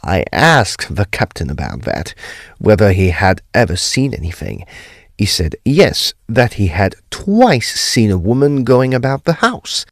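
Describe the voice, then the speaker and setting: An actor playing an earnest Edwardian gentleman, recounting a mystery in formal, emphatic phrases for an audio drama. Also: lowish voice, sing-song, unhurried, no echo, clean studio sound. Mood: measured explaining. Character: earnest, animated Edwardian English gentleman telling a ghost mystery. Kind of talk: period audio drama storytelling.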